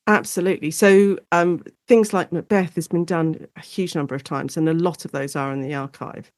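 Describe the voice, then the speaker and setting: A woman's voice: deep voice, fairly even intonation, normal speed, a little mellow, dry recording. Chatty, enthusiastic British woman, dry close sound. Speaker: guest academic specialising in audio drama. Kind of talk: conversational interview.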